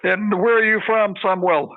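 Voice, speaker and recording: High, gravelly man on a tinny line. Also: moderate pace. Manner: encouraging, upbeat. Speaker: workshop moderator. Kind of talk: moderating an online workshop.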